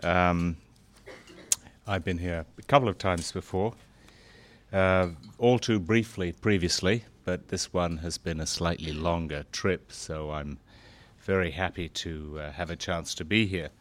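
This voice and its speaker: A middle-aged man and former foreign correspondent speaking to a gathering, halting with fillers at first, then growing more animated. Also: deep voice. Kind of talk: speech to an audience.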